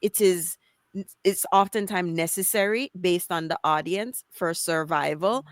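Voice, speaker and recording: Animated Jamaican woman, dry close sound. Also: fairly low pitch, a little slow, dry recording. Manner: animated, switches into patois. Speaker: podcast host. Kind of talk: conversational interview.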